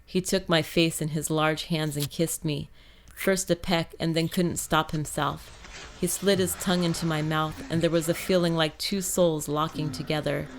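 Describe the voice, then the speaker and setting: A woman's voice: deep voice, fairly even intonation, normal speed, slight background noise. A woman reading scripted first-person fiction as an audiobook narrator.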